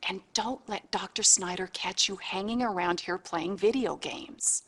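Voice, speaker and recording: Brassy, wisecracking hospital nurse. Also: female voice, mid-range voice, unhurried, a bit bright, slightly rough. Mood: wry, instructive. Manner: sassy, bossy, informal. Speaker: admitting nurse. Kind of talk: scripted video game cutscene acting.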